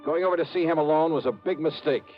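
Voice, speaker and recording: An actor playing a hardboiled insurance investigator, narrating wryly in first person in a 1950s radio drama, on a dull old broadcast with music. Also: male voice, on the high side, fast talker, natural voice. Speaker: lead and narrator.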